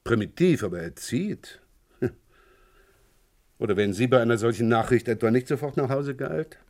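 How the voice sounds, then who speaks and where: An actor playing a wily police inspector in a German radio crime play, probing with hesitant, feigned casualness. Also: male voice, medium pitch, lively intonation, moderate pace, a little mellow, lightly acted. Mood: musing, matter-of-fact. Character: wily male police inspector questioning a neighbour of a murder victim.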